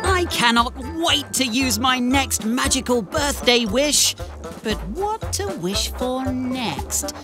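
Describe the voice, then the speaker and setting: A woman's voice: sits high, animated, slow, over loud music. A woman voicing a cheerful, helpful young boy steam engine in a children's cartoon, earnest and exclamatory. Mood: eager, thoughtful. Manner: earnest, wide-eyed, exclamatory.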